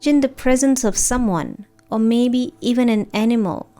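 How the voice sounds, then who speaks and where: A woman narrating a guided meditation in a gentle, soothing, unhurried manner. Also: fairly high pitch. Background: background music.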